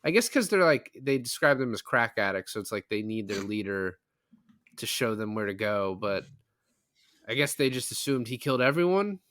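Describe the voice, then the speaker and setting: A man's voice: on the low side, very animated, normal speed, fairly bright tone, dry recording, clean studio sound. A man talking casually about films as a podcast co-host, explaining things in a conversational way. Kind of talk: casual film discussion.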